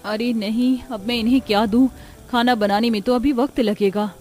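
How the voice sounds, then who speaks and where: A woman's voice: a little high, steady tone, normal speed, a little mellow, close to an everyday voice. A woman voicing an exasperated young daughter-in-law in a family cartoon, with a complaining, exclamatory manner. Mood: flustered. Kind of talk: Hindi family cartoon storytelling.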